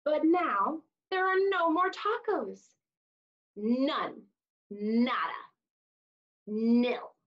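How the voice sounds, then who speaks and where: A woman in her thirties reading a picture book aloud to young children, expressively, with exaggerated emphasis and drawn-out words. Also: sits high, muffled recording. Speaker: children's storytime reader. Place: small room indoors, seated on floor.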